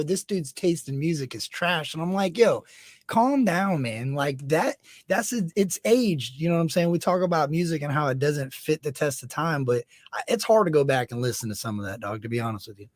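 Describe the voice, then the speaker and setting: A slightly high-voiced man hosts a casual chat, eager and full of slang. His voice sounds dry and close, with no room around it. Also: a little fast, no room sound. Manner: enthusiastic, slangy, calls people dog and bro. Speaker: host.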